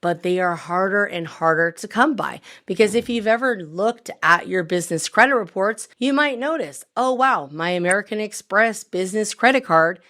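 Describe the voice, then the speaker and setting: Hoarse, upbeat woman coach. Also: lively intonation, moderate pace, hardly any pauses, a bit bright. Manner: upbeat sales-pitch delivery, lists points by number. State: recovering from lost voice, a bit hoarse. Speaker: business credit coach. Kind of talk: direct-to-camera explainer.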